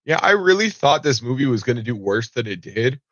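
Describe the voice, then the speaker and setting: A man's voice: mid-range voice, very animated, a little fast, fairly bright tone, no room sound. A man with a nasal, allergy-stuffed voice giving casual reaction commentary, rambling with many ums and restarts and swearing offhand. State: nasal from allergies, slight cough. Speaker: commentary vlogger. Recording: dull, lo-fi home recording.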